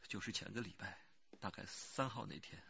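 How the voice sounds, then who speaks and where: A man's voice: on the low side, moderate pace, vocal fry, sharp tone, breathy edge, no room sound, dull audio, full character voice. An actor playing an anxious rural working man who pleads earnestly and hesitantly in a radio crime drama. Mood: cooperative, explaining.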